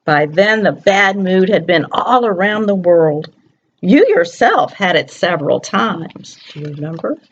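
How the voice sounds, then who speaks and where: A woman's voice: on the low side, animated, a little slow, muffled recording, slight background noise. A woman storyteller reads a picture book aloud to young children, expressively, often putting questions to her listeners.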